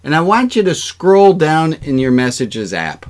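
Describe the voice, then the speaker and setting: A man's voice: fairly high pitch, big pitch swings, a little slow, darkish tone. A man speaking as a rabbi leading an informal online service, conversational and joking, encouraging people to take part. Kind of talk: informal interactive sermon, leading exercises.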